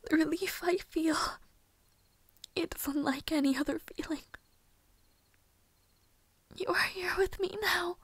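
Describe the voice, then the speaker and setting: Woman doing a fragile devoted maid for audio roleplay, super high breathy whispery voice, slow n hesitant, tender. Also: no room sound. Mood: tender relief. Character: devoted, fragile young maid, tearfully relieved, deferential.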